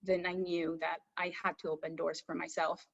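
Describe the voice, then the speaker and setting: A woman's voice: fairly low pitch, level tone, moderate pace, vocal fry, dull audio. A woman from Cuba, a native Spanish speaker, answering as an interview guest in a candid, conversational way. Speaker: astrophysicist guest.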